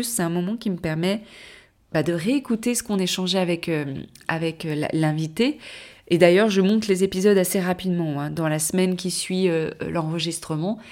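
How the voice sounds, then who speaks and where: A woman hosts her own podcast and talks on her own in a loose, rambling way. She stops often to correct herself, and her voice is on the low side. She has a cold. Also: darkish tone. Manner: rambling, many fillers and self-corrections. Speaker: podcast host. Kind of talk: casual solo podcast talk.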